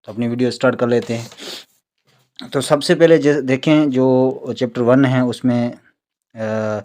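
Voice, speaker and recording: A man with a Pakistani accent and a mid-range voice teaches in an informal lecture style. He explains step by step and repeats key terms so they stick. When he reads English phrases aloud, he becomes halting and deliberate. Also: medium pitch, a little mellow. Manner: instructional, repeats key words for memorising. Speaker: exam-prep teacher. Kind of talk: informal recorded lecture, reading out questions and explaining.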